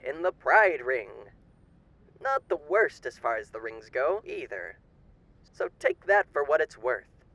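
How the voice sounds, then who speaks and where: A man's voice: fairly high pitch, big pitch swings, measured pace, pushed and bright, dry recording, exaggerated voice, low-quality recording. A man playing a suave demon overlord with theatrical, old-fashioned genteel diction in an audio roleplay. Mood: mock sympathy. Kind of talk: ASMR character roleplay.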